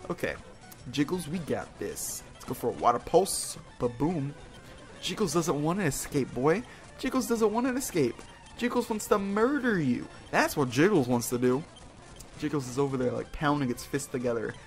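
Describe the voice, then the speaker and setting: A man's voice: on the high side, animated, normal speed, faint music behind. A man hosting a video game playthrough with casual, rambling, playful commentary, slangy and often repeating his phrases.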